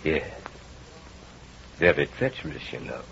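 An actor playing a jealous, cold-blooded murderous rival, with a menacing, deliberate, mock-sympathetic manner in an old radio drama style. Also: male voice, rumbling low, sing-song, creaky, full character voice. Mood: falsely soothing. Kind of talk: 1940s radio acting. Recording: muffled, noisy old radio broadcast.

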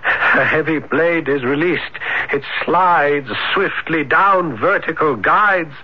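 Slow, anguished lonely man. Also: fairly high pitch. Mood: horrified, anguished. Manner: halting, anguished, melodramatic. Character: lonely, tormented, shy young man haunted by guillotine horrors. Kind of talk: vintage radio drama acting. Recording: dull, noisy vintage radio broadcast.

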